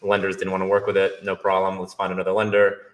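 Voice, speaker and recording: Young male guest from Brazil, low and even delivery, relaxed and practical. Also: quick pace. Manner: relaxed, matter-of-fact. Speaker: guest, real estate investor. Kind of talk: casual interview answers.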